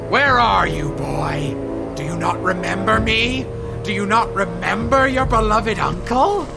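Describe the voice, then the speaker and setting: An actor playing a menacing, mocking villainous uncle on a dark horse in an audio drama, over music. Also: male voice, high voice, lively intonation, unhurried, full character voice. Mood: taunting. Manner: mocking, taunting, gravelly. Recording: music bed under voice.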